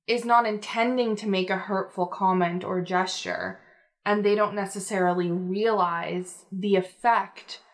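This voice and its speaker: A young woman talking in a casual two-person conversation. She lays out her points calmly and steadily, leaning on everyday fillers. Her voice sits at a middle pitch. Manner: explanatory, measured, many fillers.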